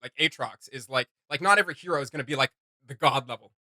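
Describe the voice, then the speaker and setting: A man's voice: medium pitch, quick pace, sharp tone, dry recording, studio quality. A man hosting a reaction video, giving casual, opinionated commentary in an analytical, emphatic way.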